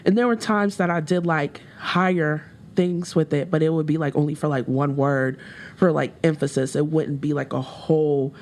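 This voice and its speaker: A woman rapper with a deeper voice, talking candidly as a guest in a casual interview, with frequent fillers. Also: fairly even intonation, moderate pace, a little mellow. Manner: candid, reflective, with laughs and many fillers.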